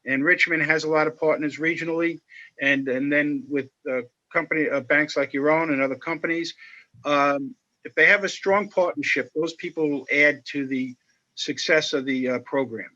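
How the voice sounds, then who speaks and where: A man speaking as a panelist on a video call, explaining at a measured pace with many fillers. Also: on the high side, fairly even intonation, sharp tone. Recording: muffled video call audio.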